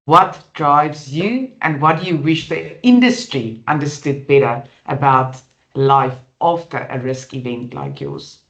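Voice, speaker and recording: An interviewer asking questions in a warm, encouraging manner. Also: mid-range voice, measured pace. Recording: echoey, lo-fi room sound.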